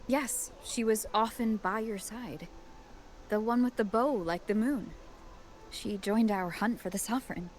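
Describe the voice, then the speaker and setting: A woman's voice: mid-range voice, a little slow, a little mellow, a little hiss or hum, lightly acted. A woman playing an earnest, determined young warrior heroine in a video game, speaking insistently. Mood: searching. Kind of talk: scripted video game dialogue.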